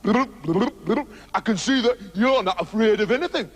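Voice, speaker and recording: A man voicing a big-bellied male robber with slow, grudging menace. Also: high-pitched, expressive, in a large hall. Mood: menacing.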